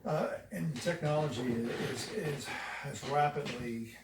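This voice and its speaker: A middle-aged man co-hosting a podcast in casual chat, speaking in a measured, reflective way and looking back on older technology. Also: fairly high pitch. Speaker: podcast co-host. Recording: echoey room, mic at a distance, faint noise.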